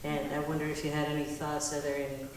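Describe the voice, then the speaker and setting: A woman's voice: low-pitched, completely flat delivery, fast talker. A woman talking informally, putting a question in a tentative, musing way. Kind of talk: informal question from personal experience. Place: echoey meetinghouse hall. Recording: echoey hall, distant mic, faint noise.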